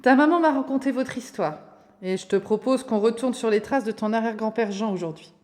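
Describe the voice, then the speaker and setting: A woman plays a welcoming museum guide in an audio drama. She talks fast and fairly high, in a long reverberant space. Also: a little mellow, close to an everyday voice. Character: welcoming museum guide greeting a young visitor. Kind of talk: French audio drama acting.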